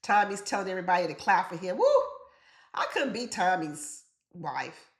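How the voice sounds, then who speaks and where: A woman's voice: fairly low pitch, lively intonation, normal speed, reverberant. A woman chatting straight to her viewers in an opinionated, chatty way, sounding congested from being sick. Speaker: reality TV commentator. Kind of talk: casual commentary chat.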